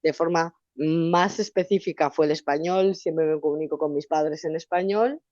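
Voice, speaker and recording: A woman's voice: low voice, normal speed, dry recording, dull audio. A young woman from Spain with a Valencian Spanish accent, chatting animatedly as an interview guest. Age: young adult. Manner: animated, chatty, restarts often. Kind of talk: casual interview conversation.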